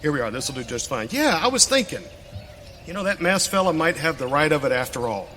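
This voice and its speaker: A plain-spoken frontier buffalo hunter talks in rustic western style, high and bright. It's a radio play in a reverberant hall. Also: male voice, sing-song, brisk. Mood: folksy, musing. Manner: folksy rustic western talk. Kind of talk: live western radio theater acting. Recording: reverberant hall, faint noise.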